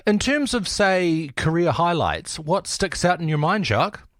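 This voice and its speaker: A man's voice: fairly high pitch, lively intonation, measured pace, fairly bright tone, no room sound, studio quality. A man hosting a podcast with upbeat presenter delivery. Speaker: podcast host. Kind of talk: scripted podcast intro and interviewing. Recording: music bed under the voice.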